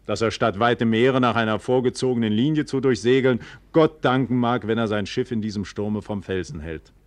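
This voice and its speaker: A man's voice: mid-range voice, normal speed, no room sound, close to an everyday voice. An actor playing a proud, trusting, impassioned nobleman in a classical German radio drama, with emphatic, rhetorical delivery. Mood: unconcerned, assured.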